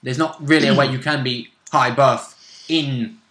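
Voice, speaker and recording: Casting: male gamer, bright mid-pitched voice, rambling playful chat, roomy sound. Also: steady tone, unhurried. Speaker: player in a tabletop role-playing game. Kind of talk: casual game chat. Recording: roomy, echoing room sound.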